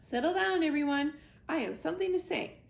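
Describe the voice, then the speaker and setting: A bossy, jumpy male owl from a storybook, put on by a woman. He screeches and announces in a high, lively voice with a gravelly edge. The room echoes around him. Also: fairly quick. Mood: announcing.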